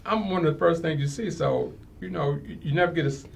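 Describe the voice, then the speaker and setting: A man's voice: a little high, fast talker, gravelly, slight background noise. A man appearing as a talk show guest, giving an earnest motivational personal testimony and often rephrasing himself.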